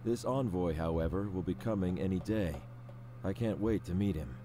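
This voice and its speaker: An actor playing an earnest, dutiful man reading his written journal in a video game, measured and solemn. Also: sits low, close to an everyday voice. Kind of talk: scripted video game narration.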